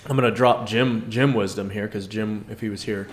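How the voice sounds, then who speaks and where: A man co-hosting a casual devotional talk, earnest and self-reflective, with a loud, carrying voice. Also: on the low side, steady tone, fairly quick. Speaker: podcast co-host. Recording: echoey room with faint noise.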